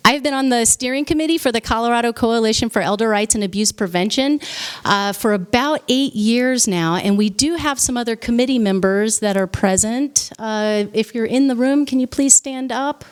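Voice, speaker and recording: A woman's voice: fairly high pitch, normal speed, smooth. A woman hosting a live training event, welcoming the audience and reading a speaker's biography aloud in an orderly way. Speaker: event host introducing speaker. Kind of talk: formal introduction at a training event. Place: conference room with live audience.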